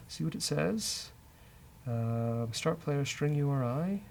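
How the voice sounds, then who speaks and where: A man's voice: on the high side, expressive, takes it slowly, creaky, a little mellow. A man hosting a casual coding session, thinking aloud and muttering hesitantly as he works. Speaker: developer and show host. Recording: faint background noise.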